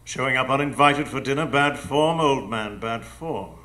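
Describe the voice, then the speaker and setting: Man playing gentleman villain, radio play, forceful bright voice, taunting, mock-courteous menace. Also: medium pitch, moderate pace, close to an everyday voice. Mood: smug menace. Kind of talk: live radio drama acting.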